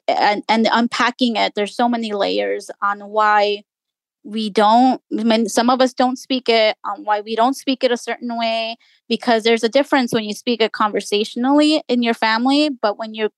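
A woman's voice: on the high side, fairly even intonation, normal speed, dry recording, low-quality recording. A woman journalist whose first language is Spanish, speaking earnestly in a radio interview and thinking aloud with many restarts. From United States. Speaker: journalist guest.

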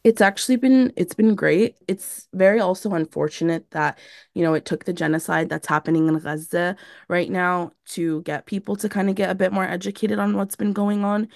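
A woman's voice: on the low side, fairly even intonation, normal speed, gravelly, a little mellow, no echo, studio quality. A young woman from San Diego, an organizer being interviewed, answering casually with lots of "you know" and "like". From San Diego, United States. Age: young adult. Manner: conversational, many "you know" and "like" fillers. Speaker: community organizer, interview guest.

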